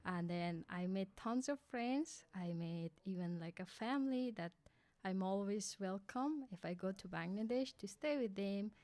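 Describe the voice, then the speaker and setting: A young woman from Afghanistan with an Afghan accent, a radio guest talking in a hesitant way with lots of fillers, into a boom mic in a studio. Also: animated, unhurried, dark tone, no echo. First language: Hazaragi. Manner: hesitant, many fillers like "like" and "kinda". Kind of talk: casual interview answers. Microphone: boom mic with headphones.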